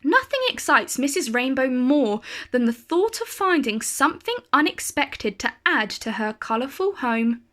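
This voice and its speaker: Bright, animated woman reading a storybook. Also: high-pitched, measured pace, hardly any pauses. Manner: animated, carefully phrased. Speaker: storyteller reading a children's picture book. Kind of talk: expressive read-aloud storytelling for children.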